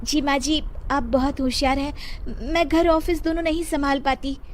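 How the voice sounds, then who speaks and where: A woman voicing a meek, sweet young daughter-in-law, polite and gently protesting, in a cartoon moral story. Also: high-pitched, steady tone, moderate pace, noisy background, voice pushed up, lightly acted. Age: young adult.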